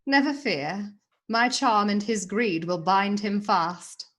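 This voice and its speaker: A woman's voice: mid-range voice, slow pace, fairly bright tone. An actress playing a haughty, scheming princess in a radio play, mocking and silky in her charm. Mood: sly.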